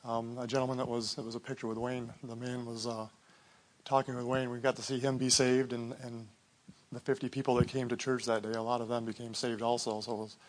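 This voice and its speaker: A man giving a short testimony about a church trip, speaking softly and haltingly. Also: mid-range voice, flat delivery, rough recording. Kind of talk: testimony to a congregation.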